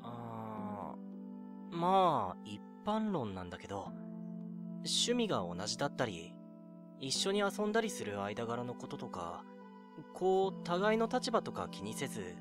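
A man playing a mild, modest 19-year-old in an amateur audio drama, with a casual, gentle, self-effacing manner. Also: mid-range voice, huge pitch swings, a little slow. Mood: easygoing, encouraging. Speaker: narrator and lead actor in an audio drama. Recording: music behind the voice.

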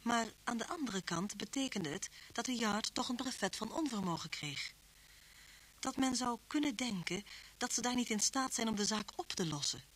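Slightly husky reflective woman narrator. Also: a little high, animated, moderate pace, a bit bright, rough recording. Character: reflective woman narrator, confidante of a police inspector. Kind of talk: radio drama narration.

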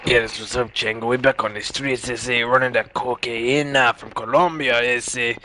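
A man's voice: medium pitch, steady tone, normal speed, bright, forceful tone, a little hiss or hum, natural voice. A young man gaming who puts on the strained voice of a swaggering, tough-talking street gangster, tagging his lines with slang. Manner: strained put-on voice, repeated street-slang tags. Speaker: gamer. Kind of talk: gameplay commentary in a put-on character voice.

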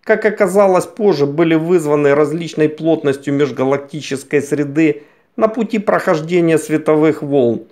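A man reading scripted science documentary narration in a measured, formal, carefully enunciated way. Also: fairly high pitch, a little mellow. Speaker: narrator of a science news video. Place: voice-over recording.

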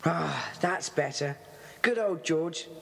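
A woman's voice: low-pitched, animated, takes it slowly, vocal fry, very noisy, exaggerated voice. A British woman playing an earnest, bossy schoolboy club leader in a children's audio drama, eager and emphatic. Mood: urgent relief.

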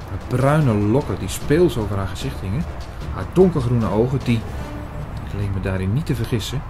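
A man playing an earnest, shy priest who narrates an audio drama in a measured, reflective way, hesitant in dialogue. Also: on the low side, natural voice.

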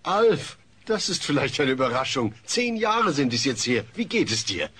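A man's voice: mid-range voice, sing-song, normal speed, a bit bright, faint music behind. A man playing an earnest, kindly middle-aged father in a German audio drama. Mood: surprised.

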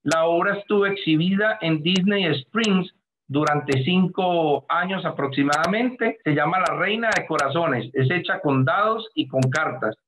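A man's voice: on the high side, unhurried, a crowd in the background, poor audio. A middle-aged Colombian man with a Colombian accent, speaking earnestly and effusively in long flowing sentences on a video call from a room at home. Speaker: artist guest. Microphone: webcam on video call.

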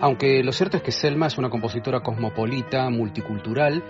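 A man co-hosting a radio program about film music, explaining in a warm way. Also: mid-range voice, fast talker. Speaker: co-host, film music critic. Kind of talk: radio chat. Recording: dull audio with music.

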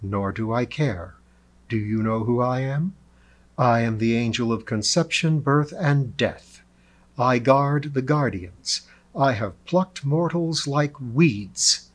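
Guy doing a scary angel woman, hissing and solemn, cold and haughty, fantasy audiobook. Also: medium pitch. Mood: cold menace. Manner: slow, hissing, solemn menace. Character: menacing, imperious female angel of birth and death.

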